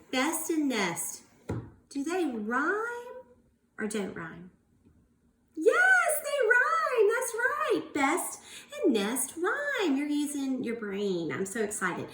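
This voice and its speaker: High, animated woman teaching young children. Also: echoey room, slight background noise. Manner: animated, encouraging, exaggerated enunciation. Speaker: early-years teacher. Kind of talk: children's read-aloud lesson with questions.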